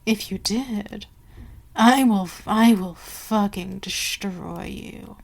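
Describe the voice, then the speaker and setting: Woman acting a slow, imperious, seductive vampire queen. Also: medium pitch, vocal fry. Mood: commanding, menacing. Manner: slow, deliberate, haughty, commanding, dropping into whispers. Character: imperious, seductive, menacing vampire queen dominating her servant. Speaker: voice actor in audio roleplay. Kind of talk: ASMR roleplay acting.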